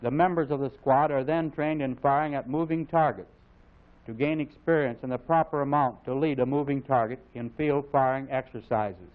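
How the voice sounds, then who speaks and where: Tinny vintage training-film narrator, American man. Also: medium pitch. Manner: formal, authoritative, measured delivery. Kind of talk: scripted mid-century instructional film narration. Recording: phone-like vintage film soundtrack, narrow band.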